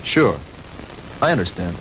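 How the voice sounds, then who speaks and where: A man's voice: low voice, big pitch swings, unhurried, a bit bright, heavily acted. An actor playing a troubled, apologetic young man in a 1960s B-movie, subdued and hesitant. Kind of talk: 1960s B-movie film acting. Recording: noisy old 1960s film soundtrack.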